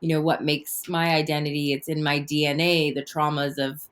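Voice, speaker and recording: A woman's voice: low voice, level tone, normal speed. A middle-aged woman guest born in Afghanistan and raised in Canada, speaking thoughtfully in a conversational interview with many fillers and restarts. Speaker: guest, activist and author.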